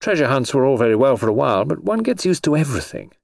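A man's voice: mid-range voice, very animated, fast, vocal fry, a little mellow, dry recording, studio quality, pitched above the performer's own voice. A man voicing a thrill-seeking, languid young society lady in an audiobook, with a gushing upper-class drawl. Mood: languid, bored. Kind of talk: audiobook character voice.

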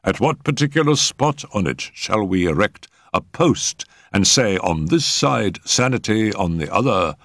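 A man voicing a pompous, genial eminent male doctor who lectures in a measured, emphatic way in a storybook reading. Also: on the low side, animated, a bit bright, no echo, clean studio sound, voice pushed up, heavily acted. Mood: self-satisfied, expansive.

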